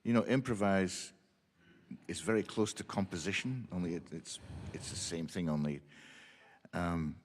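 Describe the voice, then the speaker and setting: A man on a music panel answering questions and telling lively stories about his teaching and playing. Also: low voice, moderate pace, long reverb tail, slight background noise. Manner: enthusiastic storyteller. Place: symposium hall.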